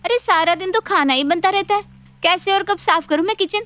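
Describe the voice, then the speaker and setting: Sassy high-pitched young daughter-in-law played by a woman. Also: fairly quick, a bit bright. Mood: indignant. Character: sassy young daughter-in-law who cooks endlessly, cartoon.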